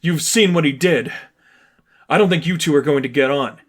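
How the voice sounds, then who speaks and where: A man's voice: fairly high pitch, moderate pace, voice pushed up, close to an everyday voice. A man voicing a wary, blunt young henchwoman who is doubtful and defiant.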